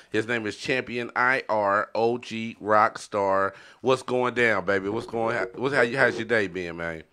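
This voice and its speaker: Bright, hyped-up man hosting a podcast. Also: lowish voice. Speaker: podcast host. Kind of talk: casual, slangy podcast banter.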